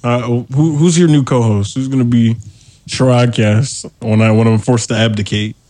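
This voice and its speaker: A man hosting a casual podcast, joking crudely and laughing loudly and often. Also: mid-range voice, moderate pace, no echo. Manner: crude joking, frequent loud laughing, animated. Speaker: podcast host.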